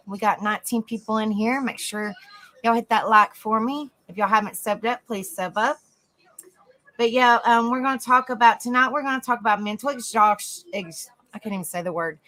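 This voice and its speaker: A woman hosting a casual livestream, chatting softly with viewers by name and reading notes aloud. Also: a little high. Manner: soft, easygoing, chats with viewers by name. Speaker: livestream host.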